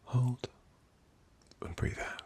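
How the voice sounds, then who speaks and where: A British man doing a soft-spoken ASMR doctor roleplay, breathy and mostly whispered, with a gentle, reassuring, unhurried manner. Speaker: ASMR performer playing a doctor.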